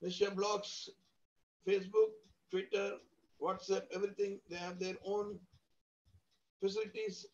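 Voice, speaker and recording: A man with an Indian accent and a husky voice gives an academic lecture, explaining with examples in an emphatic, didactic way and piling up synonyms and lists. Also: sits high, level tone, measured pace, pauses often. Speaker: university lecturer. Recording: dull lo-fi audio.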